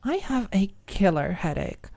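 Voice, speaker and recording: A woman's voice: mid-range voice, sing-song, measured pace, vocal fry, mellow, voice pushed up. A woman voicing a flustered, haughty and dramatic female college student in an audiobook, with prim, indignant phrasing. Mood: grudgingly apologetic.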